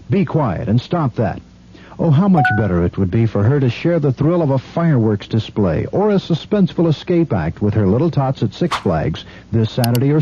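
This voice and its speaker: A man delivers a radio advertisement like a preacher exhorting his flock. His very dark voice rises and falls widely, on a muffled recording with faint rumble. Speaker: radio commercial announcer. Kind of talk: mock-sermon advertising pitch.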